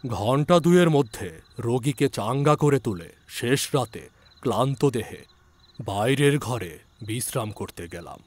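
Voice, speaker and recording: A man playing a village physician who recounts an eerie ghostly encounter in a measured, suspenseful audiobook narration. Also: medium pitch, animated. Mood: suspenseful, uneasy. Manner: measured, suspenseful delivery with hushed drops and emphatic questions. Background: background music.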